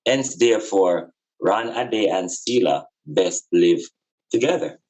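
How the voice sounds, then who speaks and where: A man with a fairly low voice reasons aloud in a casual, reflective style. He is earnest and emphatic, circling back and repeating phrases to drive each point home. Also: animated, slow, a little mellow, low-quality recording. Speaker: podcast guest speaker on spirituality and community.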